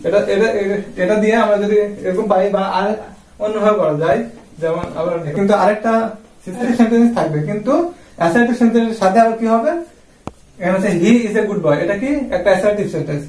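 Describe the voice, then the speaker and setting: A man's voice: high-pitched, quick pace, darkish tone, slight background noise. A man explains like a classroom teacher. He asks questions and then answers them himself. The sound is echoey and picked up at a distance from the microphone. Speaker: English grammar teacher. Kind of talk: classroom-style lesson explanation. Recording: roomy echo, distant microphone.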